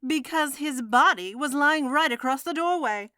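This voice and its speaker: Woman playing bright, feisty American miss. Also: sits high, lively intonation, no echo. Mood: tart, defiant. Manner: brisk, tart, defensive. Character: feisty, indignant, unflappable American single woman. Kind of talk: old-time radio mystery acting.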